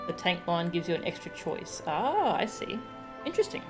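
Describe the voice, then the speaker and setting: Casting: female streamer, lowish pitch, casual play-along chat, prominent game music underneath. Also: big pitch swings, moderate pace. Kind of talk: casual live-stream chat while playing.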